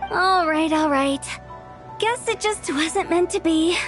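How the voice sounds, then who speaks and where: Brief: a mischievous young business owner; high, playful and slow, light game music. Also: female voice, a bit bright, full character voice. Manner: playful, teasing, sing-song. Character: playful mischievous young woman, teasing employer. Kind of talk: video game voice acting.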